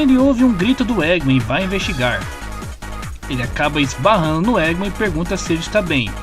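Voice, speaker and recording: A man with a Brazilian accent reading a scripted retelling of a story as its narrator. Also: on the high side. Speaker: narrator of comic story summaries. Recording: music clearly playing behind the voice. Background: background music.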